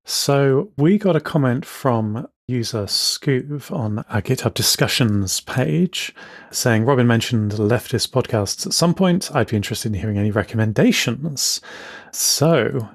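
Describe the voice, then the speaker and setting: A mid-pitched man explains things in casual podcast chat, speeding up in bursts. His voice is recorded close and dry, with no room sound. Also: expressive, no echo. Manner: explanatory, quick bursts, laughs easily. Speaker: co-host.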